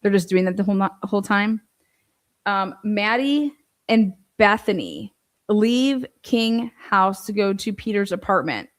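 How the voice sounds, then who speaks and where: A woman's voice: medium pitch, animated, measured pace. A woman giving informal true-crime commentary, reading from a transcript and breaking in with pointed, skeptical asides and rhetorical questions.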